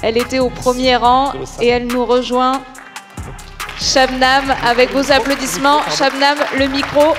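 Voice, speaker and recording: A woman co-hosting an award ceremony, lively and encouraging as she calls a winner up. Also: sits high, moderate pace. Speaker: co-host. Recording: hall with crowd and music.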